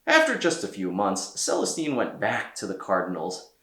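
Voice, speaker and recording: A man reading a children's story aloud as a storyteller, with theatrical delivery and deliberate pauses. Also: on the low side, wildly swooping pitch, bright, forceful tone, echoey room. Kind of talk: expressive read-aloud narration for children.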